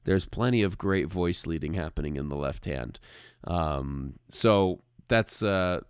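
A man co-hosting a podcast in a casual style, talking haltingly and repeating words as he forms his thoughts. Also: deep voice, clear, resonant tone, dry recording, clean studio sound. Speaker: podcast co-host, music arranger.

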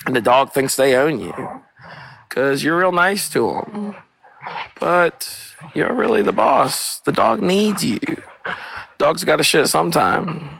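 A hoarse young man hosting a casual solo podcast outdoors, rambling and cursing with spiritual asides, recorded on a portable mic rather than in a studio. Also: a little high, expressive, slow pace, pauses often, a bit bright, a little hiss or hum, rough recording. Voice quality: rough, gravelly voice. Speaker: podcast host. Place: outdoors on a walk with dogs. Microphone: portable, not studio quality. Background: dogs playing.

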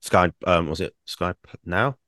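A young man, co-hosting a casual podcast, rambling with many fillers and restarts, his throat croaky from singing. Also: deep voice, measured pace, sharp tone, no room sound, studio quality. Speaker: podcast co-host.

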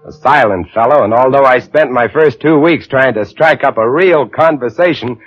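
An actor in an old radio drama playing a lighthouse keeper who tells an eerie sea tale with intense, savored phrasing. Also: male voice, medium pitch, moderate pace, natural voice. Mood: wry reminiscence. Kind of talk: old-time radio drama first-person narration. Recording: muffled old radio recording with music. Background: dramatic music.

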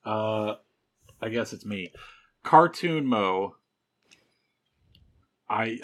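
A man's voice: on the low side, a bit bright. A man in casual chat with friends about their tabletop game, joking and rambling with lots of laughter. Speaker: podcast cast member.

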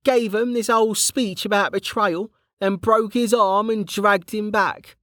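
A narrator voicing Kettle, a rough, cheeky male guard who talks in brash slang. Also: high-pitched, steady tone, unhurried, a bit bright, no echo, clean studio sound, voice pushed up.

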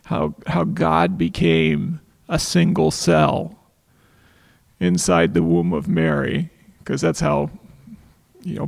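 Raspy, fry-heavy male co-host, slightly higher-pitched, thoughtful, casual discussion. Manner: thoughtful, searching for words with frequent restarts. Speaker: podcast co-host.

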